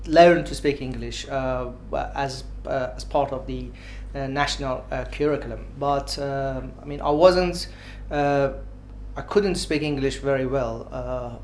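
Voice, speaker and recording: A man from Iran speaks in his forties, with a clear Iranian accent and mid-range pitch. He answers unscripted and keeps halting on filler sounds. Faint ambient noise sits under his voice. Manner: hesitant, many filled pauses. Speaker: dentist interviewee.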